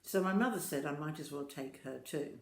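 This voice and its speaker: A woman's voice: low-pitched, moderate pace, slight background noise. An older woman reading a children's story aloud, speaking directly and expressively to young listeners. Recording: roomy, echoing home-style recording.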